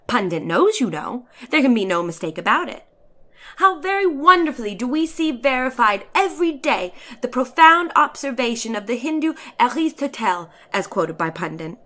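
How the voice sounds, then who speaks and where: Theatrical woman reader, slightly high voice. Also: big pitch swings, moderate pace, pushed and bright. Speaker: narrator of a classic short story. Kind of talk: dramatic audiobook reading of a satirical letter.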